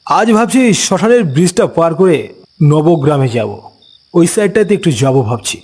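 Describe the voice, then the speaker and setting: A man voicing a breezy, self-assured retired village man in a brisk manner. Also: on the high side, animated, a little mellow. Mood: confident.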